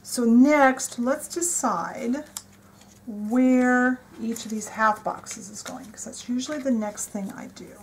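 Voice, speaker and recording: Woman, slightly high voice, chatty, enthusiastic, desk recording, faint background noise. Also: slow pace, rough recording. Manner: chatty, enthusiastic, thinks aloud while working. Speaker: planner vlogger. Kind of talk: casual show-and-tell narration. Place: indoors at a desk.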